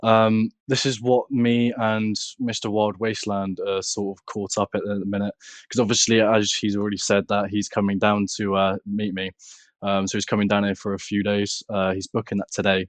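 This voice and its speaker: A man's voice: fairly low pitch, flat delivery, normal speed, no echo. A man with a British accent chatting casually as a podcast guest and content creator. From United Kingdom. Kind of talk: casual chat.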